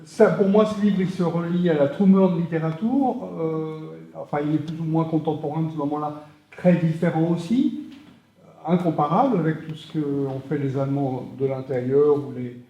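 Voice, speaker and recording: A man's voice: on the high side, fairly even intonation, moderate pace, warm tone. Rambling man in an echoey hall. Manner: rambling, hesitant, many fillers. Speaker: audience member. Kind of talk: question from the floor at a public discussion. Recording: echoey, dull hall sound.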